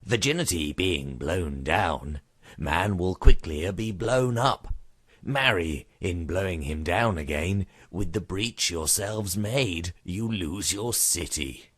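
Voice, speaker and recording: A man's voice: deep voice, expressive, slow pace, a bit bright, husky, dry recording, clean studio sound, exaggerated voice. A man playing a witty, mocking rogue in a wry, argumentative Shakespearean comic monologue. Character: witty bawdy male rogue, mocking, arguing cynically.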